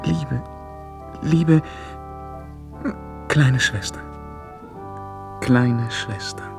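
Young prince, radio drama, slow measured delivery, hesitant, courteous, lonely, music behind. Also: male voice, mid-range voice, vocal fry. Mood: moved, tender. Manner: hesitant, stiffly polite, measured. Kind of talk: mid-century German radio play acting.

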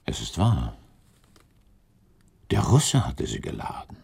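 A man playing an earnest, puzzled gentleman companion to a prince in a German radio play, speaking formally and respectfully. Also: rumbling low, huge pitch swings, slow, creaky, breathy edge, full character voice. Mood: puzzled, conceding.

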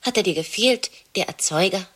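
An actress in a radio play, playing a chatty, sardonic young wife who is jealous. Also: female voice, medium pitch, animated, moderate pace, breathy edge. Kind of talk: radio play monologue and dialogue.

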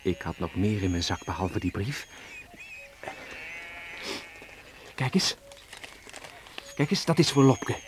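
A man's voice: low-pitched, big pitch swings, moderate pace. An actor playing a hearty but wistful seaman in a Dutch radio play, with an exclamatory, folksy, emotional way of talking. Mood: fond, eager. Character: hearty, wistful seaman home after years away. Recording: noisy old radio play recording.

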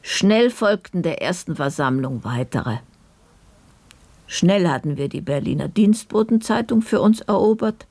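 An actress playing an older grandmother who narrates her youth as a maidservant in an unhurried, reflective radio drama style. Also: lowish voice, expressive, mellow, no echo. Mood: reflective, fond.

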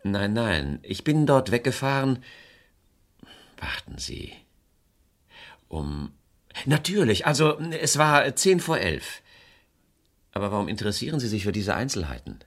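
A man's voice: medium pitch, very animated, unhurried, long pauses, a bit bright. An actor playing a troubled, defensive man under suspicion in a German radio crime drama, hesitant and agitated when pressed.

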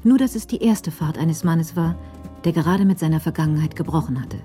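A woman's voice: low voice, brisk, mellow, soft background music. A woman giving scripted narration in a radio crime drama.

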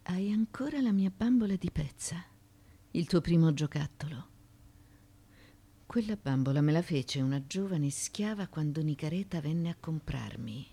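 A woman's voice: sits low, animated, unhurried, warm tone, slightly rough, full character voice. An actress playing an ancient Greek mother haunted by her childhood, in a theatrical radio monologue of broken musing. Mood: haunted, reminiscing.

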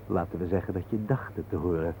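An actor playing a calm, cryptic guide who speaks in a measured, enigmatic way in a radio play. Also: male voice, low-pitched. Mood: patient, cryptic. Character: calm, cryptic male guide named Hein, explaining mysteries. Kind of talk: Dutch radio play acting. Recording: noisy, narrow-band old radio recording.

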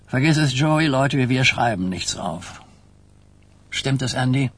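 An actor playing an old, weary and blunt New York mafia boss in a German radio crime drama, husky and grumbling. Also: male voice, mid-range voice, expressive, unhurried, no echo. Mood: dismissive. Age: older.

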